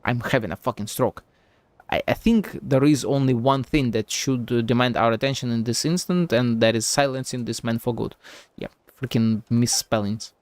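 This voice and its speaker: A man's voice: mid-range voice, normal speed, darkish tone, no echo. A man streaming a game with casual live commentary, musing and rambling as he goes, swearing casually. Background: game music.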